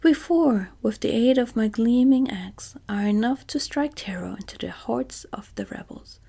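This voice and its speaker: A woman's voice: a little high, normal speed, vocal fry, husky. Woman whispering a dignified tin emperor. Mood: earnest. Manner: soft whispered delivery. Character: dignified tin man emperor, polite and earnest.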